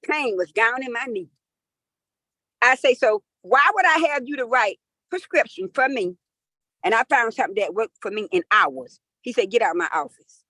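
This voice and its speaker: High-voiced woman telling stories. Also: normal speed, dry recording. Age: 50s. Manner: lively, acts out quoted dialogue, emphatic. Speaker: caller sharing a testimonial. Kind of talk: animated testimonial storytelling.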